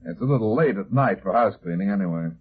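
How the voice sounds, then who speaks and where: Husky hardboiled radio detective. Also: male voice, lowish voice, lively intonation, quick pace. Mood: brisk, questioning. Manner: blunt, terse interrogating. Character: tough, shrewd hardboiled male private detective. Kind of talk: 1950s radio detective drama acting. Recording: muffled, thin vintage 1950s radio recording.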